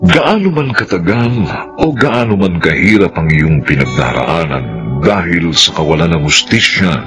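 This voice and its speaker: A man with a Filipino accent giving solemn, deliberate, dramatic narration for a radio drama. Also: low voice, animated, loud music behind the voice. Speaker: narrator and director.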